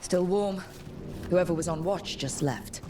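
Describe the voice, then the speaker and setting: A woman's voice: fairly low pitch, measured pace, background noise, lightly acted. A woman voicing a cool, observant detective in a fantasy video game, thinking aloud in a terse, matter-of-fact way. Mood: focused, deducing.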